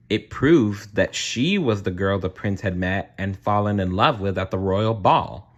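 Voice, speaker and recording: Low-voiced man reading a bedtime story, unhurried. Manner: expressive, unhurried, chatty asides to the listener. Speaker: storybook reader. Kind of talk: children's bedtime story read aloud with asides.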